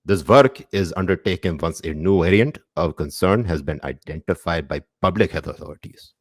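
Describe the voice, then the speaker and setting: A man's voice: low-pitched, moderate pace, dry recording, clean studio sound. A man reading a corporate statement in a put-on comic accent, with pompous mock-formality. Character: mock Pakistani-accented press-release reader, man.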